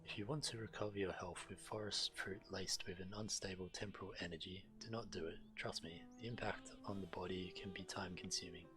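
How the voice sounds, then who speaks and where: A man's voice: deep voice, steady tone, fairly quick, few pauses, poor audio. A man streaming a video game, reading quest text aloud as narration. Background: game music.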